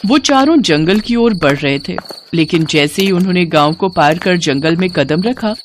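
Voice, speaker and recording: A woman's voice: lowish voice, animated, moderate pace, slight background noise. A woman storyteller giving a dramatic scripted narration of a story.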